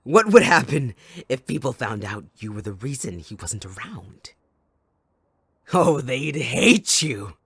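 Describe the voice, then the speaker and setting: A man playing a mocking, possessive, sadistic villain in an ASMR audio roleplay, with a taunting, drawn-out theatrical delivery. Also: medium pitch, sing-song, a bit bright, breathy edge, dry recording, heavily acted. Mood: cruel, coaxing.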